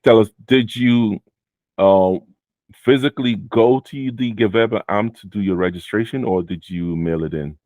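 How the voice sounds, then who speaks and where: A man with a very deep voice hosts a casual chat with his student. He talks loosely, with many fillers, and often sums up what the guest just said. The sound is dry and close. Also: measured pace, no echo. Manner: relaxed, many fillers, repeats guest's answers. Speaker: host and Amazon seller mentor. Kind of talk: casual video interview.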